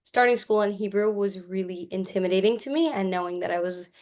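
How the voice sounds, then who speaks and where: A woman's voice: medium pitch, a little fast, a little mellow. A young woman from the United States giving a casual personal account as a student panelist on a video call. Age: young adult.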